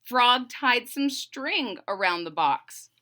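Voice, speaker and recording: A woman with a high, bright voice reads a storybook to children. Her delivery is animated and expressive, and she explains things in simple words as she goes. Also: takes it slowly. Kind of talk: read-aloud narration with explanatory asides to children.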